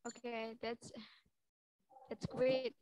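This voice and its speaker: A woman with an Indonesian accent hosting an online class, speaking English slowly and carefully. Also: fairly high pitch, sing-song, creaky, darkish tone, smooth, no echo. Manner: slow, careful English with pauses. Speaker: host. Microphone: video call.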